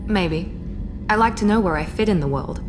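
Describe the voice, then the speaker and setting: A woman voices a cool, self-assured officer built for greatness, her voice set a little low and measured. She speaks with crisp precision and quiet pride, and game music plays clearly behind her. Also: natural voice. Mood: composed, cool. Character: cool, proud genetically engineered woman officer. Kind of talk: video game dialogue.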